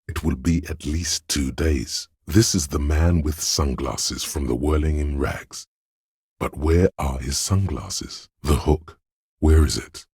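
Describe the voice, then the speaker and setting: A man voicing the grave, analytical inner voice of a detective's mind in a video game, narrating in a measured, deliberate, gravelly way. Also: extremely low pitch, a little mellow, dry recording, studio quality, heavily acted. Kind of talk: scripted second-person game narration.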